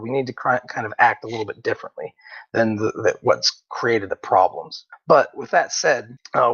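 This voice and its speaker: A man's voice: mid-range voice, a bit bright, no room sound, lo-fi sound. A man hosting an online community hangout, talking earnestly and rambling with restarts. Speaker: host. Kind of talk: casual online hangout discussion.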